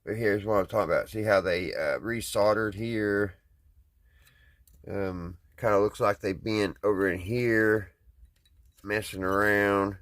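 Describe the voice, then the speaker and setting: A man's voice: lowish voice, fairly even intonation, measured pace, a bit bright. A man with a Southern US accent giving casual, hands-on repair commentary in a folksy, hesitant way, thinking aloud as he goes. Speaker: vlogger, radio restoration hobbyist.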